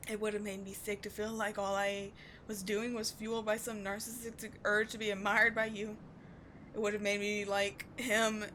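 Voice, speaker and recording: A woman playing an obsessive, devoted villain in a dramatic ASMR roleplay, speaking with theatrical intensity that moves between forceful and hushed. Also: mid-range voice, level tone, normal speed, a little hiss or hum, close to an everyday voice. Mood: bitter, indignant. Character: obsessive, devoted female villain wooing a wounded sidekick.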